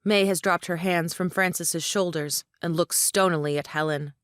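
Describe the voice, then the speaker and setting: Woman, drama narrator, scripted stage directions, lowish pitch, dry sound. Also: steady tone, normal speed, a bit bright, no room sound.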